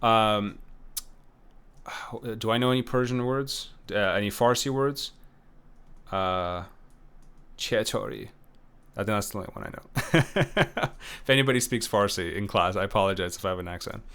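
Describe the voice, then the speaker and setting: Bright-voiced male professor, chatty and filler-laden. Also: on the low side, moderate pace, pauses often, clear, resonant tone. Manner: loose, conversational, many fillers and restarts; unsure about names, self-correcting. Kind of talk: recorded lecture.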